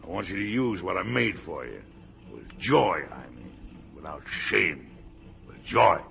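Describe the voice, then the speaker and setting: An actor playing a blustery, proud older factory boss and father in a 1950s radio drama, with a gravelly voice. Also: deep voice, sing-song, a little slow, a bit bright, full character voice. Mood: earnest, proud. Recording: noisy old radio broadcast recording.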